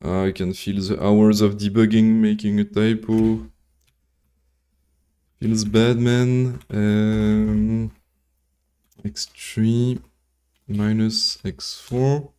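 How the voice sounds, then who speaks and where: A man's voice: on the low side, little pitch movement, dark tone, smooth. A man from Belgium whose first language is French, thinking aloud and reading formulas during a casual live coding stream, with dry jokes. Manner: thinks aloud, reads formulas slowly, joking asides. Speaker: coding livestreamer.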